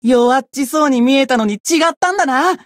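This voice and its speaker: High-pitched, cocky bratty young demon. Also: female voice, expressive, a little fast, no room sound, studio quality. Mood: cocky, teasing. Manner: pompous, rough slangy boasting. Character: cocky, bratty young demon self-styled king of the underworld. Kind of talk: Japanese mobile game character voice lines.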